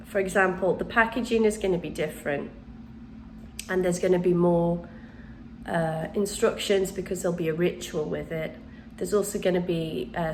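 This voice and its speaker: A woman's voice: on the low side, a little hiss or hum. A woman host chatting casually and rambling a little, still congested after the flu. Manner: rambling, chatty, with restarts and ums. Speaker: channel host, witchcraft crafts teacher. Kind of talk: casual chat to camera.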